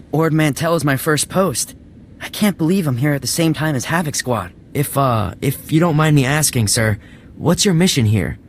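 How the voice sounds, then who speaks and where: A man's voice: fairly high pitch, slight background noise, close to an everyday voice. A young man playing an eager, deferential army private in a video game, speaking hesitantly and in awe.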